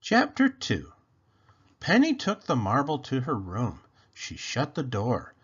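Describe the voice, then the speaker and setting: A man reading a children's story aloud in an expressive, animated, deliberate way. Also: mid-range voice, breathy edge, muffled recording. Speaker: storybook read-aloud host.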